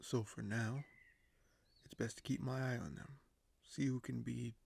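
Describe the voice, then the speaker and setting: A man playing a weary, paranoid, dryly candid king in an audio roleplay, speaking in measured, formal phrases with hesitant pauses. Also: medium pitch, fairly even intonation, gravelly, a little mellow, husky, full character voice. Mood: brooding, cold. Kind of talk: audio roleplay monologue to a silent listener.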